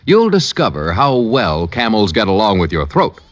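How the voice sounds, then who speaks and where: Very animated vintage radio announcer man. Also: on the low side, normal speed. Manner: emphatic salesmanship. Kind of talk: 1950s radio commercial and announcing. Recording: dull lo-fi old radio recording.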